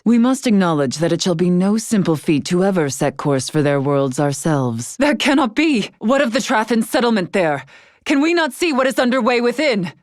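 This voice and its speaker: An actress playing a dignified, stern, scholarly woman in a fantasy video game, speaking in formal, archaic, measured phrases. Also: mid-range voice, no room sound, clean studio sound, close to an everyday voice. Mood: indignant, alarmed. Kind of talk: scripted video game character dialogue.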